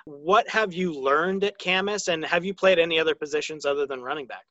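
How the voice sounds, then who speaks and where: An American man hosts a sports interview podcast in a very bright, forward voice that sits a little high. The sound is dry and close, though slightly dull in fidelity. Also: a little fast, no room sound. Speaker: podcast host.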